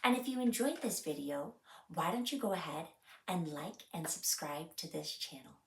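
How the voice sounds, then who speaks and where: A woman hosting a children's story time, reading aloud with deliberate, expressive phrasing, a little away from the microphone. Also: on the low side, echoey room, far from the mic.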